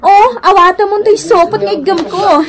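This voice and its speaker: A woman's voice: very high-pitched, normal speed. A woman with an Ilocano accent playing a haughty, condescending well-off woman in a radio comedy drama. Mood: smug, amused. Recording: loud crowd noise behind.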